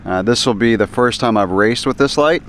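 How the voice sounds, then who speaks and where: A man talking casually and upbeat to his camera at an outdoor race, his voice a bit hoarse from yelling. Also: mid-range voice, moderate pace, slight background noise. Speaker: vlogger. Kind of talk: casual vlog narration.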